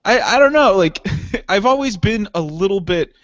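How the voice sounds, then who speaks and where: A young man with a high voice rambles through casual podcast chat, laughing and swearing as he goes. The sound is dry and close. It is also dull and lo-fi. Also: animated, moderate pace, a bit bright, dry recording. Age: 20s. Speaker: podcast co-host.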